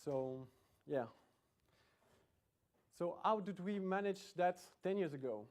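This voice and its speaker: A middle-aged man with a Belgian accent gives a relaxed technical talk in a lecture room. His voice sits a little high for a man. He jokes at his own expense and often breaks off and restarts his sentences. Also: takes it slowly. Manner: chatty, self-deprecating, many restarts. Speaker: conference speaker.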